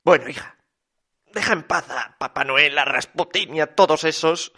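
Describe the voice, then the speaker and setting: A man voicing a calm, soothing elderly mother in a comic story. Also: a little high, normal speed, slightly rough.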